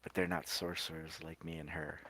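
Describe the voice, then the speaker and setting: A man playing a friendly, playful carpenter sorcerer who explains things gently and simply, as if to a child, in a tabletop game. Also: a bass voice, little pitch movement, a little fast, no echo, exaggerated voice. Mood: reassuring, playful.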